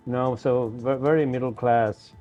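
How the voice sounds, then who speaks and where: A man's voice: mid-range voice, steady tone, measured pace, dull audio, soft background music. A man from Sweden, an investor telling his story in an interview, repeating words and often adding "you know". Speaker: investor, guest. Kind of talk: casual interview talk.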